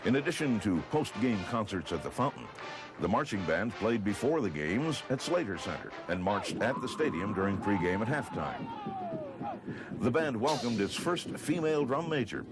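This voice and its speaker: A male American narrator delivers scripted newsreel copy. His voice sits fairly low and moves with lively intonation. Music runs clearly behind him. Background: music bed.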